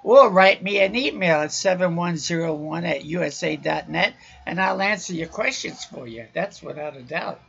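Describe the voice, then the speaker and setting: Man, raspy and husky, loud raucous podcast preacher, muffled lo-fi recording. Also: on the high side, moderate pace, hardly any pauses. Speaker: podcast host.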